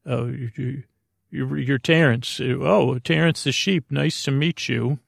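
A lower-pitched to mid-range man with a creaky, gravelly voice, recorded close and dry. He hosts a sleep podcast with a halting, absent-minded bedtime ramble, stammering and trailing off as he wanders through whimsical thoughts. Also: dry recording. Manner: halting, stammering, meandering speech with trailing-off sentences; whimsical, absent-minded musing to an imaginary listener. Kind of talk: rambling, improvised bedtime monologue.

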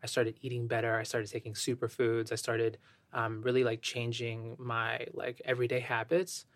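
A young man from Ecuador speaking as a podcast guest, soft-spoken and thoughtful, with many fillers as he reflects. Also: mid-range voice, completely flat delivery, normal speed, dry recording. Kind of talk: reflective interview talk.

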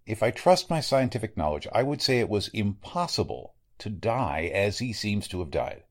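A man plays an educated, perplexed veteran coroner in an old-time radio drama. His voice is fairly deep and highly animated in pitch. He talks formally and emphatically, with gruff authority. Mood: baffled. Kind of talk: 1940s radio drama acting.

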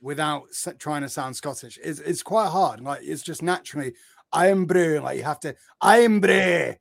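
A man's voice: fairly high pitch, normal speed, gravelly. A man chatting casually on a podcast in a playful, rambling manner. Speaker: podcast panellist. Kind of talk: casual chat.

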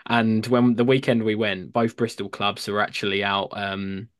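A man's voice: lowish voice, steady tone, normal speed, smooth, no echo, studio quality. A young English man hosting a podcast, chatting casually with a lot of hesitation and restarts. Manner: hesitant, many fillers and restarts. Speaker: podcast host. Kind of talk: casual chat.

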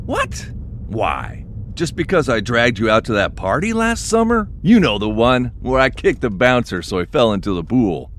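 An actor playing an excitable, boastful party man in his late fifties in an audio drama. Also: medium pitch, moderate pace, slight background noise. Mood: indignant.

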